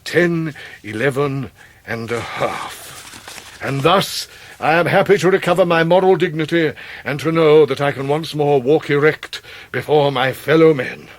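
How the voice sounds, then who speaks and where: An English actor in a period radio drama, playing a pompous, grandiloquent middle-aged gentleman who is forever in debt, with florid, deliberate delivery. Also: fairly high pitch, close to an everyday voice. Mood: solemn, self-important. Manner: florid, orotund, deliberate pauses.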